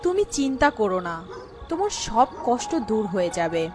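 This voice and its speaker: A woman playing a high, solemn magical fairy. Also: big pitch swings, normal speed, over music. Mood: gentle, reassuring. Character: kindly but stern magical fairy granting riches.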